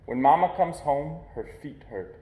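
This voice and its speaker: Male storybook reader, fairly high voice, expressive read-aloud with deliberate pauses, echoey room. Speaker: reader of a children's picture book. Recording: echoey, roomy sound.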